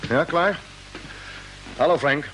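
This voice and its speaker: A male actor playing a brisk, steady, commanding spaceship captain in a radio play. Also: on the high side, low-quality recording. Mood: calm commanding. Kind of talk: mid-century radio play acting. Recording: old radio recording with faint noise.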